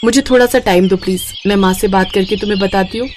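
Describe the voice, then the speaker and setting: A woman voicing a kind, dutiful young doctor in a cartoon story, speaking earnestly and gently. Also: medium pitch, fairly even intonation, quick pace, a little hiss or hum, natural voice. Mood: hesitant, apologetic. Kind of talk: Hindi cartoon moral story acting.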